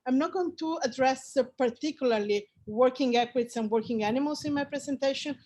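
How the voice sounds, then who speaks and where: A woman's voice: high voice, fairly quick, dark tone. A woman opening a formal presentation, earnest, with filler sounds between phrases. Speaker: speaker.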